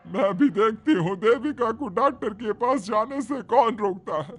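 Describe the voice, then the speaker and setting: A man's voice: high-pitched, measured pace, a bit bright, pitched above the performer's own voice. A man playing a resolute village mother-in-law who has found her courage, in a narrated cartoon drama. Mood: resolute, fierce.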